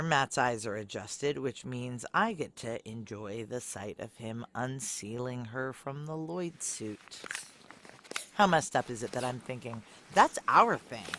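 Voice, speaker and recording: A woman's voice: very deep voice, a bit bright, a little hiss or hum. A woman playing a guarded, brooding woman on the run who narrates a noir audio drama in a terse, wry, confiding way. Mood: wry, jealous.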